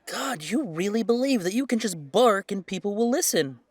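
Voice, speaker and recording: A man playing an earnest young adult werewolf son in an audio drama, halting but indignant as he stands up for himself. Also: high voice, expressive, no echo. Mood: exasperated. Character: defiant grown werewolf son, earnest and protective. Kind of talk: scripted audio drama acting.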